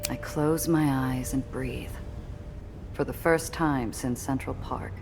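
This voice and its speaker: Noir game lead: extremely low female register, gritty and defiant, dramatic first-person narration. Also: slow pace, vocal fry, music in the background, exaggerated voice. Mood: brooding, resolute. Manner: hardboiled, dramatic delivery.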